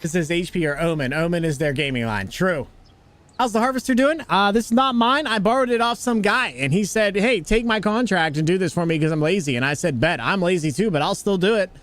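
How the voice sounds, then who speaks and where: A man's voice: high voice, a little fast, a bit bright, dry recording. A young American man hosting a casual gaming livestream, greeting viewers and joking as he plays. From United States. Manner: chatty, greets viewers, jokey. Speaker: livestream host.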